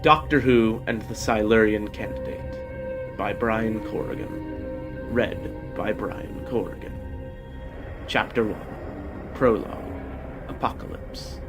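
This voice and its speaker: A man reads a scripted storybook narration in the manner of an amateur audiobook. His voice sits at an ordinary middle pitch, and he delivers the prose as a narrator. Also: slow pace, long pauses, music in the background.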